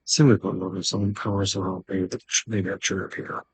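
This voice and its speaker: A man with a rough voice giving casual commentary as he hosts a game playthrough. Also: low-pitched, little pitch movement, brisk, no echo. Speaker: gaming video host.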